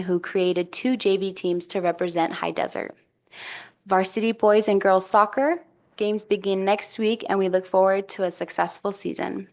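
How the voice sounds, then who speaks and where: A woman reading a prepared report of school events and sports news at a school board meeting. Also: mid-range voice.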